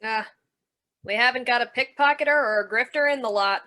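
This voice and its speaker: Lady doing tough carny manager, higher voice, sharp and forceful, needling slangy radio noir talk. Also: brisk. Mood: guarded, businesslike. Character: tough, suspicious carnival general manager. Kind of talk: old-time radio noir acting.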